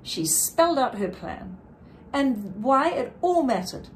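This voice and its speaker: Woman, slightly high voice, slow pace, expressive rhyming storybook reading, dramatic emphasis, some background noise. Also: poor audio. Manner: deliberate, dramatic emphasis with brief explanatory asides. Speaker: children's book reader. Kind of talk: expressive read-aloud of a rhyming picture book.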